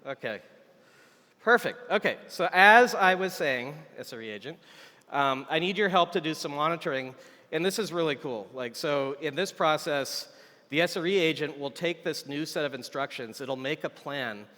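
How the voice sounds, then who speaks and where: Man, bright forceful voice, informal live tech demo, chatty, thinking aloud, big echoey hall. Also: fairly high pitch, lively intonation, moderate pace. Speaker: software engineer demonstrating a product on stage. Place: large conference hall stage. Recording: echoey conference hall with long reverb.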